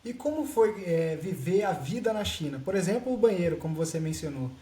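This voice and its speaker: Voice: medium pitch, expressive, a little fast, dark tone. A podcast host asking questions in a chatty, joking way. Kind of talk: casual interviewing. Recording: roomy echo, faint noise.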